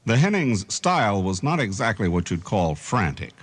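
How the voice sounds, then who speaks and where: A man's voice: lowish voice, sing-song, normal speed. A man hosting a radio tribute, reading scripted narration. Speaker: radio host.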